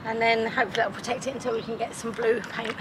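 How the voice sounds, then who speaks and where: Woman, middle-aged, relaxed conversational style, loud outdoor background noise. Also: on the high side, fast talker. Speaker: vlog co-host. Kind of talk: casual chat. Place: outdoors on a boat and riverbank.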